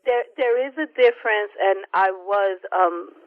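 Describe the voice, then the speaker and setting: A woman's voice: medium pitch, unhurried, no room sound. A woman from Rico, a guest on a radio show, speaking earnestly with frequent hesitations over the phone. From Puerto Rico. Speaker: guest, parent advocate. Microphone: telephone. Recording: narrowband telephone line, dry.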